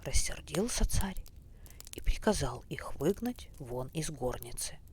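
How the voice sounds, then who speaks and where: A man narrating a fairy tale as a bedtime story in a hushed, breathy, unhurried way. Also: fairly high pitch, expressive. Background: faint fireplace crackle.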